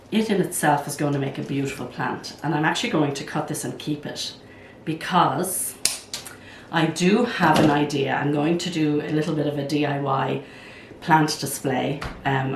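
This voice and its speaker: Hoarse older woman, low and roomy. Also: noisy background. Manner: chatty, enthusiastic, thinks aloud while working. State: hoarse from a cold. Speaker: plant channel host. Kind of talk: casual vlog. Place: at home, indoors. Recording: roomy sound from a distance.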